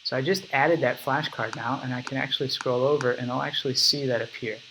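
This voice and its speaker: Bright-voiced man walking through software. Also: medium pitch, fairly even intonation, a little fast, faint music behind, poor audio. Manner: casual, step-by-step explaining. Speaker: app developer demonstrating his product. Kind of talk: screen-recorded software walkthrough.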